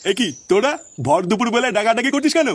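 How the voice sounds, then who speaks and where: A man's voice: extremely high pitch, lively intonation, quick pace, a little hiss or hum. A man voicing a crude-tongued, doting old grandfather in a comic cartoon dub. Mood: irritable. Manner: grumbling, crude.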